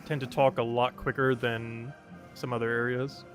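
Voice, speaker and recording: A man's voice: mid-range voice, flat delivery, moderate pace, faint music behind. A young man from New Jersey with a New Jersey accent, chatting casually and rambling as he commentates a game stream, chuckling often.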